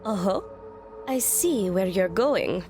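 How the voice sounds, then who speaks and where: A woman's voice: medium pitch, animated, takes it slowly, darkish tone, faint music behind. A woman playing a composed, analytical young student profiler in an audio drama, formal and precise.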